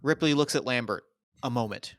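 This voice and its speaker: A man's voice: medium pitch, a little fast, fairly bright tone. A man doing scripted narration, reading out the stage directions of a screenplay at a table read.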